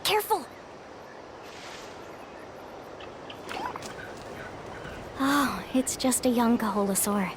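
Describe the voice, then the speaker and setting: A woman voicing an upbeat, confident young woman guide in a video game, expressive and reassuring as she takes charge. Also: a little high, moderate pace, noisy background. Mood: urgent, reassuring. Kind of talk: animated video game voice acting.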